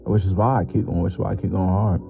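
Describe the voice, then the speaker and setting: A man's voice: low voice, fairly even intonation, fast talker, gravelly. A 21-year-old man hosting his own podcast, talking loosely and jokingly with lots of slang and fillers. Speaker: podcast host. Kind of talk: casual solo podcast monologue. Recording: muffled lo-fi with music behind.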